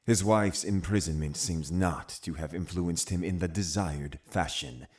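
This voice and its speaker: An actor playing a cunning, zealous Puritan uncle in an audio drama, commanding and condescending with deliberate phrasing. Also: male voice, low-pitched, a little mellow. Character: cunning, zealous Puritan uncle, a menacing witch-hunting authority. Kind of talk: full-cast audio drama acting.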